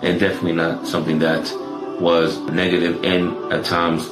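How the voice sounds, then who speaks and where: A man speaking calmly and softly to his community at an Islamic center, in a measured way. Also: deep voice, roomy sound, over music. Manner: measured, soft-spoken, calm. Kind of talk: address to the community.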